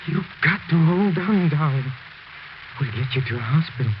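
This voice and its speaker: An actor playing an obsessed, grieving husband, intense and theatrical, in 1940s radio melodrama. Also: male voice, on the high side, expressive, normal speed, close to an everyday voice. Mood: pleading, desperate. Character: obsessed grieving husband, desperate, morbid, unhinged. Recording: very noisy, muffled 1940s radio recording.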